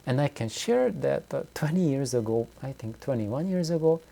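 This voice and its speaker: A middle-aged man giving an unscripted, reflective explanation to viewers, haltingly and with words repeated for emphasis. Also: medium pitch, lively intonation, gravelly, dark tone. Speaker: audio hobbyist video host.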